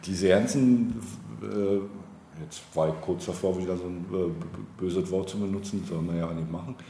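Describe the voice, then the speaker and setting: A man speaking as a politician in a casual interview, halting as he searches for words. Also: deep voice, warm tone. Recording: roomy echo, clear background noise.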